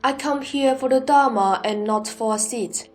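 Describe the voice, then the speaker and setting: Earnest senior monk-disciple, bright high voice, woman reads him, plain and sincere. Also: normal speed, natural voice.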